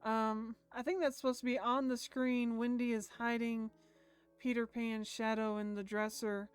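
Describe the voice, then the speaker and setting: Female game streamer, higher voice, casual and chatty, reads on-screen story between asides, music behind. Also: flat delivery, moderate pace, smooth. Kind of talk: casual live stream chat mixed with reading a visual novel aloud. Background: background music.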